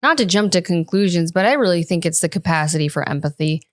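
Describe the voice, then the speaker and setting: A woman's voice: fairly low pitch, lively intonation, fast, no echo, clean studio sound. A woman in her early thirties chatting casually and personally as a podcast co-host. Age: early 30s. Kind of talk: casual personal panel chat.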